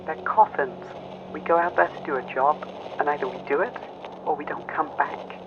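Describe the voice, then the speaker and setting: A man's voice: a little high, normal speed, noisy background, voice pushed up. A man voicing a weary, frightened female mech pilot in an audio drama, strained and pleading over a radio. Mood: bitter, resigned. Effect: radio filter. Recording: muffled cockpit radio with music.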